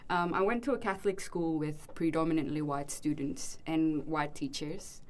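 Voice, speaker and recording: Young female co-host from Indonesia, Australian accent, reflective, lower voice. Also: steady tone, moderate pace, a little mellow, a little hiss or hum. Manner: reflective, many ums and restarts. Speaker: podcast co-host. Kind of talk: casual conversation.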